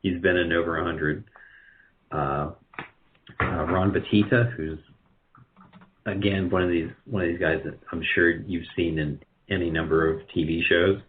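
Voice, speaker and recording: A man being interviewed as a guest, talking casually and hesitantly, with many filled pauses. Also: low-pitched, steady tone, slight background noise. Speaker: radio drama producer, interview guest. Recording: muffled, lo-fi call audio.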